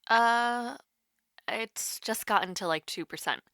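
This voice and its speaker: Casting: actress as nervous, playful young woman in intimate roleplay; bright voice, unhurried, halting delivery. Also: fairly high pitch, dry recording, clean studio sound. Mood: resigned, casual. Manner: hesitant, halting, teasing. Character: nervous, playful young woman calling her online crush. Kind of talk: intimate audio roleplay, one-sided call.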